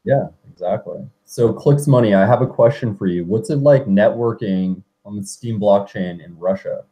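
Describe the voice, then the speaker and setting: A man's voice: lowish voice, normal speed, highs cut off. A man in a casual group chat, talking in a loose, chatty way full of "like" and "you know".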